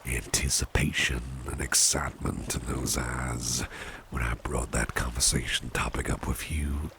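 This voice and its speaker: A man playing a suave, doting gentleman businessman boss in an ASMR roleplay, soft-spoken, courteous and unhurried. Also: little pitch movement, full character voice. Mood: caring, attentive. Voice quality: very deep, gravelly, breathy at times. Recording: clear background ambience noise.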